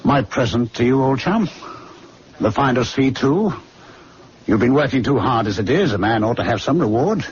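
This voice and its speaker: Guy playing a ruthless young med student turned body snatcher, pushy and menacing, lively pitch, dull old radio sound. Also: moderate pace, close to an everyday voice. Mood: smooth, insistent. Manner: urgent, insistent, smoothly menacing. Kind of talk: old-time radio drama acting. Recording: muffled radio drama recording, faint noise.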